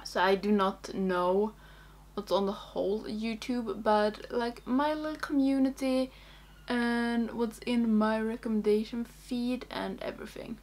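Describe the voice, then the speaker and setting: Slow-paced young Swedish woman, casual vlogger. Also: on the high side, steady tone, a little mellow. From Sweden. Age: young adult. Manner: hesitant, halting, thinking aloud. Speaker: makeup video creator. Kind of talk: casual chatty get-ready-with-me vlog. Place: small indoor room.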